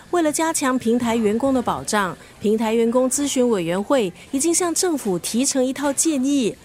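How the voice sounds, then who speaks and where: An actress playing a scornful, sharp-tongued family friend in a radio drama, sarcastic and blunt, with a Singaporean accent. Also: female voice, a little high, slight background noise, natural voice. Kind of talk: Mandarin radio drama acting.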